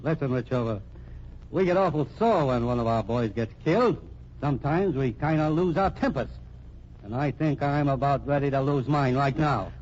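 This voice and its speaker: An actor playing a blunt, short-tempered American homicide captain, hard-boiled and accusing, in an old-time radio crime drama. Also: male voice, mid-range voice, moderate pace, darkish tone, natural voice. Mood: threatening. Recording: dull, noisy old radio recording.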